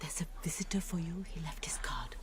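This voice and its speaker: Discreet, low-voiced maid. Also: female voice, lively intonation, a little fast, slight background noise. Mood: discreet, hushed. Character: discreet, deferential female household servant. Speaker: actress in a radio drama. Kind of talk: radio play acting. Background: music.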